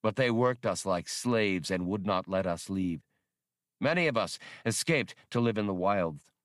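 Audiobook creature role, male reader: grave nonhuman rebel chief, lowish voice, plain earnest wording, very dry sound. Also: expressive, measured pace, no room sound. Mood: earnest, resolute. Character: solemn alien creature, rebel leader of escaped slaves. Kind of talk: audiobook character voice.

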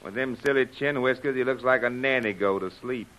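A man's voice: medium pitch, fairly quick, lightly acted. An actor playing a slangy, folksy soldier who bosses his men around in a vintage radio serial. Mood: wry. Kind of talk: 1930s radio serial acting. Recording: muffled vintage radio recording.

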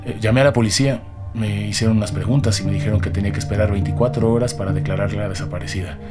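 A man playing a nervous, grieving politician under questioning in an audio drama, speaking haltingly with pauses. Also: lowish voice, fairly even intonation, loud music behind the voice, close to an everyday voice. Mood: grieving, shaken. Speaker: actor in a detective audio drama. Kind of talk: dramatic podcast acting.

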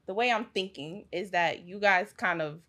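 A woman speaking in a measured, quiet way, reasoning through her point on a debate panel. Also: mid-range voice.

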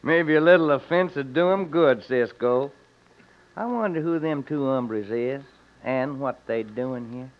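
An actor playing a friendly, folksy old-West cowhand in a radio western, with an American Western accent and drawl. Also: male voice, mid-range voice, a little slow, background noise, lightly acted. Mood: relaxed. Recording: dull vintage radio recording.

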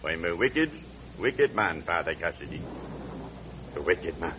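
Sickly old Irish drunkard, fearful, halting lament, widely swinging pitch, old radio drama, muffled recording with faint hum. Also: male voice, sing-song. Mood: grave, confessional.

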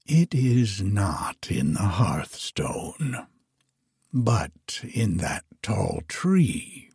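Man doing a low, whispery mocking dragon. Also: big pitch swings, very slow, vocal fry, darkish tone, breathy edge, no room sound, clean studio sound, heavily acted. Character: sly boastful male dragon.

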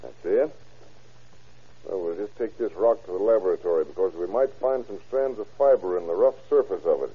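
An actor playing a shrewd, terse police investigator in an old-time radio crime drama, reasoning matter-of-factly. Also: male voice, sits low, brisk, breathy edge. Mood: keen, deducing. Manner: matter-of-fact, terse, deductive. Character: shrewd, hard-boiled male police investigator. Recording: muffled old radio recording.

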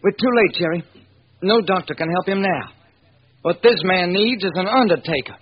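An actor playing a blunt, wisecracking police lieutenant with a husky voice in an old radio crime drama. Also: male voice, high-pitched, normal speed. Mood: sardonic. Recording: dull vintage radio recording.

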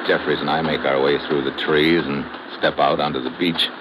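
A man's voice: a bass voice, flat delivery, normal speed, noisy background. A man playing a tough American government agent, speaking tersely in a hardboiled old radio drama. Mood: hardboiled, steady. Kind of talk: 1950s radio drama narration and acting.

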